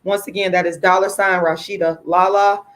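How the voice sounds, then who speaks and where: A woman hosting a casual livestream, speaking to viewers in an informal, outspoken, emphatic way with a slightly hoarse voice. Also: fairly low pitch, little pitch movement, normal speed. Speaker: livestream host, activist.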